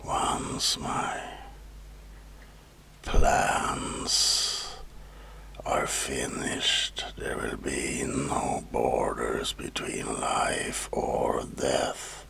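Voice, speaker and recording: Horror ASMR role: mad asylum doctor, extremely deep, crawling pace, menacing and obsessive. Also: male voice, fairly even intonation, pushed and bright, heavily acted. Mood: grandiose, cold. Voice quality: breathy whisper with gravelly creak. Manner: slow, deliberate, menacing, theatrical pauses. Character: sinister mad asylum doctor, over eighty years studying, obsessed with immortality. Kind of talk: whispered ASMR horror roleplay.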